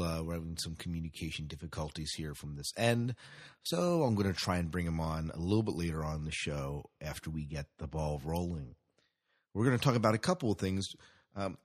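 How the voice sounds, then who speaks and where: A man with a fairly low voice hosts a podcast in an informal style. He rambles a little through news items and freely drops in his own opinions. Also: husky. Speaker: podcast host. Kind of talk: casual sci-fi news commentary.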